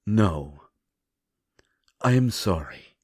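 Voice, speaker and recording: Man as a slow, very deep solemn seer. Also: animated, slightly rough, dry recording, clean studio sound, full character voice. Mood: apologetic, soothing. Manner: slow, measured, formal phrasing. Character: gentle, solemn otherworldly male seer, reassuring and sorrowful. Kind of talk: scripted audio roleplay, comforting.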